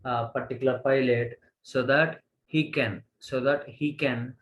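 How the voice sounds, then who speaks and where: A man with an Indian accent giving a college lecture, halting as he goes and repeating phrases for emphasis. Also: medium pitch, steady tone, fairly bright tone, low-quality recording. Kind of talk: slide lecture, reading and explaining.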